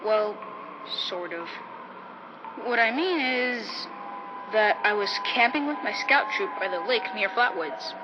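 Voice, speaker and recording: A woman's voice: mid-range voice, lively intonation, measured pace, close to an everyday voice. A woman playing a polite, earnest and easily frightened young boy scout in a vintage radio drama. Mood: woozy, earnest. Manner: earnest, boyish, naive. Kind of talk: 1950s radio drama acting. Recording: muffled vintage radio sound, loud noise bed.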